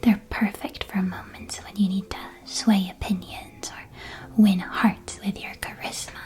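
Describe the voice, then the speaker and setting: A woman playing a kindly, chatty candy shopkeeper in a witch world, in an ASMR roleplay with gentle, helpful sales patter. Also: medium pitch, close to an everyday voice.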